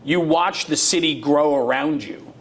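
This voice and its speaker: An American man interviewing conversationally as a show host, engaged and explaining his points at length. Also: unhurried. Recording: faint room noise with low rumble.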